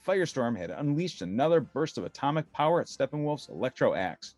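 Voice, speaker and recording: A man's voice: mid-range voice, very animated, fairly quick, a little mellow, no echo, faint music behind. A man narrating a children's adventure story in a dramatic, emphatic scripted style.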